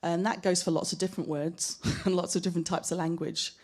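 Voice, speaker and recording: A woman speaking as a panelist at a live discussion, thoughtful and personal, measured in how she talks. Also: on the low side, little pitch movement, a little mellow. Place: gallery event space.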